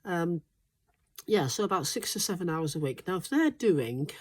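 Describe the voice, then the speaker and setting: A low-pitched woman talks casually to camera about life on her smallholding. Her voice sounds stuffed up and congested from a recent flu. She rambles, with plenty of ums and restarts. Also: big pitch swings, moderate pace. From Wales. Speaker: smallholding vlogger. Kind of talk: casual chatty monologue.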